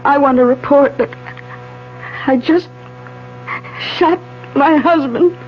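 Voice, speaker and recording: A distraught, bewildered wife in a seventies radio mystery. Her voice is very high and she speaks slowly and haltingly. The sound is a dull old broadcast. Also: background noise. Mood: dazed. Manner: halting, repeats her words. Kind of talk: 1970s radio mystery drama acting. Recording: dull 1970s radio broadcast, faint music.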